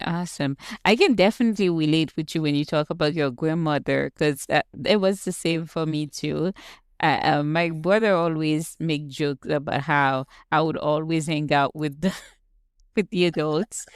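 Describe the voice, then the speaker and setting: A woman from Haiti with a Haitian accent, hosting a casual conversation as a soft-spoken, affirming listener. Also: deep voice, moderate pace, smooth, no echo, clean studio sound. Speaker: podcast host.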